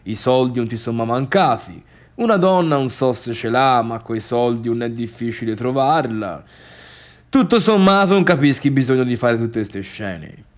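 A man's voice: medium pitch, lively intonation, normal speed, close to an everyday voice. A man playing a gossipy, grumbling Tuscan villager. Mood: grumbling, offended. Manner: colloquial, grumbling, exclamatory storytelling. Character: chatty, gossipy Tuscan villager recounting a disastrous party. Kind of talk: theatrical comic monologue for radio drama.

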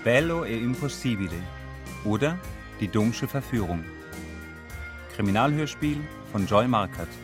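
A man reading the closing credits of a radio drama as an announcer, with deliberate, evenly spaced delivery. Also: mid-range voice, animated, music in the background. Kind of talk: scripted broadcast credits announcement.

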